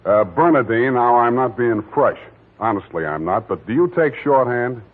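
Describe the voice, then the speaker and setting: A man's voice: medium pitch, animated, moderate pace, phone-quality sound, natural voice. An actor playing a hard-boiled, wisecracking male private detective in a 1940s radio drama, delivering dry, clipped lines. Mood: wry. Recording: scratchy 1940s radio broadcast with music.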